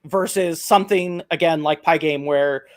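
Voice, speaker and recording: A man answering interview questions as a software project maintainer over a video call, halting and thoughtful, with frequent "um" and "like". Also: fairly high pitch. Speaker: guest, open-source project maintainer.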